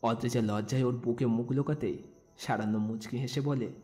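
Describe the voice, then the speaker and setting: A man's voice: medium pitch, steady tone, normal speed, warm tone. A man reading scripted narration as the storyteller of an audio story. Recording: music bed under the voice.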